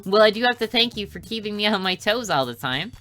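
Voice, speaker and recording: An exasperated teenage older brother from an anime game, voiced by a man, speaks in a bright voice. He sounds wry and defensive toward his sibling. Soft music runs behind him. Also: high voice, lively intonation, fast talker, pitched above the performer's own voice.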